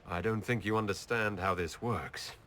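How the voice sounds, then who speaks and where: An actor playing a composed elf hero in a video game, with a dry, gently teasing manner. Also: male voice, lowish voice, steady tone, moderate pace, a little hiss or hum. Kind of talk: video game dialogue acting.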